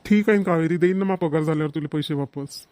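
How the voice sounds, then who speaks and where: A man's voice: on the high side, fast, darkish tone, no room sound, close to an everyday voice. A man playing a broke village father, scolding yet coaxing, in a cartoon comedy with a Vidarbha Marathi accent. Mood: agreeable.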